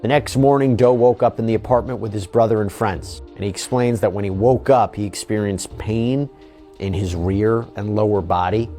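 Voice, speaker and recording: A man's voice: lowish voice, fairly even intonation, moderate pace, dark tone. Emphatic male legal commentator over faint music. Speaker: legal news host. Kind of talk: news commentary reading from a legal document.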